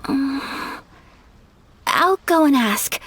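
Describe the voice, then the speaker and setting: Polite young noblewoman, high-voiced. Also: lively intonation, a bit bright, dry recording, heavily acted. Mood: calm, earnest. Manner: formal, courteous, measured. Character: polite, modest young noblewoman, gentle and dutiful. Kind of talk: video game voice acting.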